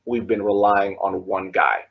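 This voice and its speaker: A man co-hosting a casual sports podcast, talking in halting bursts, restarting and laughing between points. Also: sits low, steady tone, husky, highs cut off. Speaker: podcast co-host.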